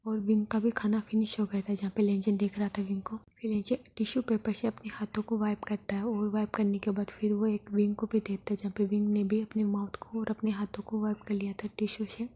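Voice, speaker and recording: A woman narrating a story in a soft, steady whisper. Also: medium pitch, brisk, few pauses, a little hiss or hum.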